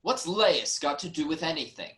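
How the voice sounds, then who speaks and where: A man playing a wronged, reasoning nobleman in a formal, measured reading of ancient Greek tragedy. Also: on the high side, animated, fairly bright tone. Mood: reasoning, defensive. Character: wronged, reasoning nobleman, brother-in-law of the king.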